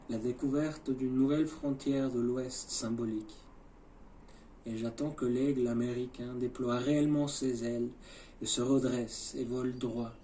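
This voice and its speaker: Male poetry reciter, dark soft-edged tone, incantatory delivery swinging from quiet to emphatic; some ambient noise. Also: medium pitch. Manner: deliberate, incantatory, alternating hushed and emphatic phrases.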